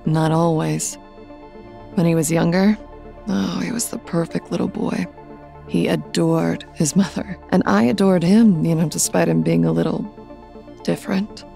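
A woman's voice: fairly low pitch, level tone, moderate pace, vocal fry, warm tone, soft background music. A woman playing a controlling, bitter middle-aged mother in an audio drama, haughty, clipped and evasive. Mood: resentful, wounded.

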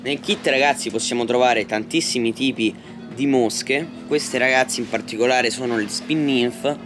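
A man's voice: mid-range voice, steady tone, moderate pace, music in the background. A teenage male vlogger enthusiastically presenting products to viewers in an unboxing, speaking outdoors at a table. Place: outdoors at a patio table in a garden.

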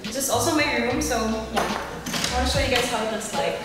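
Casting: bubbly young Filipina vlogger, high voice, unhurried, echoey rooms. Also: female voice, expressive. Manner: bubbly, chatty tour guide. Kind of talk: casual house-tour vlog narration. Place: villa rooms and poolside. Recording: echoey rooms with loud music behind.

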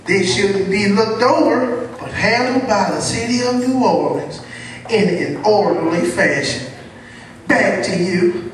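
An actor playing an earnest television news reporter, delivering a formal, measured report in a stage drama. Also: medium pitch, lively intonation, natural voice. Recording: echoey hall from far off, faint noise.

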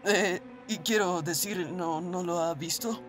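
A man's voice: high-pitched, unhurried, fairly bright tone, faint music behind. A man with a Latin American accent playing a timid, stammering teenage gamer in a fan-dubbed webtoon. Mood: panicked, stammering. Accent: Latin American Spanish. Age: young adult. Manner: stammering, breathless, hesitant. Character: timid, panicky teenage boy, a reclusive gamer. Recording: faint background noise.

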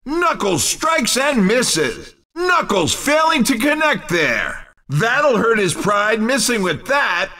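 A man's voice: very high voice, big pitch swings, takes it slowly, few pauses, sharp tone, distant mic, full character voice. An actor playing an exuberant, cheeky male race commentator in a video game, calling the action in punchy, wisecracking exclamations. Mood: excited, teasing.

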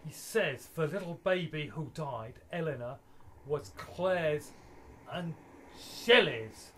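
An actor playing a haughty, mocking aristocratic poet in a radio play, pompous and taunting. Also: male voice, fairly high pitch, lively intonation, takes it slowly, bright, forceful tone, a little hiss or hum, exaggerated voice. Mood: accusing.

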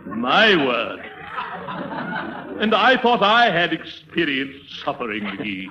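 Pompous lord, swooping voice. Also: male voice, a little high, big pitch swings, takes it slowly, a crowd in the background. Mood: hearty. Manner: pompous, hearty, exclamatory. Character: pompous English lord and big game hunter. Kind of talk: 1930s radio comedy acting. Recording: muffled 1930s radio, audience noise.

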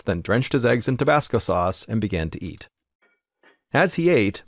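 Guy telling a true mystery story, lowish voice, soft music underneath. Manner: steady, suspenseful storytelling. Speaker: podcast host narrator. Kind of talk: scripted storytelling narration.

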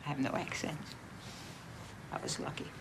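A woman in her eighties from Vienna, chatting and teasing at a small hair salon, recorded by a film camera a few metres away. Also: noisy background. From Vienna, Austria. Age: 80s. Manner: chatty and teasing, tosses back quick questions. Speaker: salon customer. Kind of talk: casual chat.

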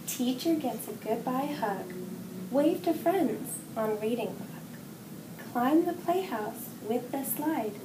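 A middle-aged woman reading a children's picture book aloud with expressive, sing-song phrasing. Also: sits high, slow pace. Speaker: children's story reader, hospital executive assistant. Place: indoor room with wall decorations. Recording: roomy echo, distant mic, clear background noise.

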